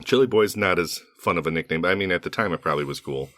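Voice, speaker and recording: A very low-pitched man talking on a podcast, his voice a little hoarse. He rambles casually with fillers and restarts. The sound is dry and close to the mic. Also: rapid-fire, a bit bright, no room sound. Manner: rambling, with frequent fillers and self-corrections. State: slightly hoarse from allergies. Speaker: podcast co-host.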